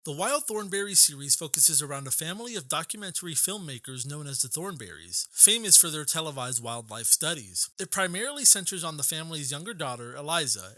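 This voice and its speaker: A young man narrates a scripted video essay. His voice is very bright and slightly high, with animated rises and falls, in a close, dry recording. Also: no room sound.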